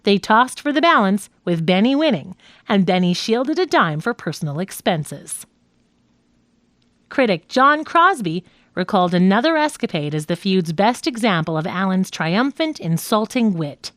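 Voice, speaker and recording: A woman reading a scripted nonfiction audiobook as its narrator, in a measured, articulate manner. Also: medium pitch, very animated, fairly bright tone, no echo.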